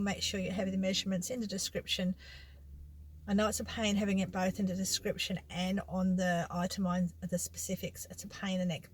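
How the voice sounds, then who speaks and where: A woman's voice: medium pitch, slight background noise. A middle-aged woman with an Australian accent talking casually to her viewers, rambling and correcting herself as she goes. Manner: rambling, self-correcting, colloquial. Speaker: vlogger and secondhand reseller. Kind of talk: casual chatty vlog monologue.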